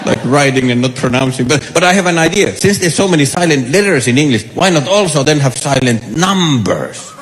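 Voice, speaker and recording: A man with a Finnish accent, a native Finnish speaker, doing deadpan stand-up with halting pauses on a stage. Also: fairly high pitch. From Finland. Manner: deadpan, halting, with many fillers. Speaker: comedian. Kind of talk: stand-up comedy. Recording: reverberant hall with noise.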